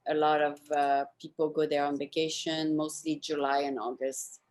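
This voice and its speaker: A woman with a distinctly low-pitched voice hosts a show. She talks informally with a guest, in the loose style of a casual interview conversation. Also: flat delivery, moderate pace, darkish tone. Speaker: show host. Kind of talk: casual interview chat, wrapping up.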